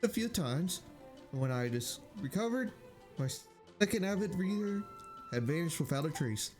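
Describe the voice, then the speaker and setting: A man's voice: fairly high pitch, expressive, moderate pace, a little mellow, natural voice. A man voicing a playful, poetic wandering bard, reading game dialogue aloud with whimsical phrasing and small stumbles. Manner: whimsical, flowery, stumbles over read lines. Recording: game music behind.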